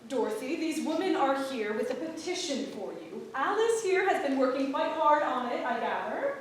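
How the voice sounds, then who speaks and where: A woman's voice: high-pitched, sing-song, normal speed. A woman playing a polite, confident townswoman in a staged play reading. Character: polite, confident townswoman introducing shy petitioners. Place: playhouse stage with live audience. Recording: distant mic, echoey hall, faint noise.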